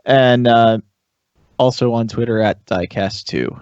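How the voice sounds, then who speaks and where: Regular pitched guy chatting on a podcast, dry muffled lo-fi sound. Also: unhurried, darkish tone, smooth, no room sound. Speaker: podcast panelist. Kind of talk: casual chat. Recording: dry, dull lo-fi connection.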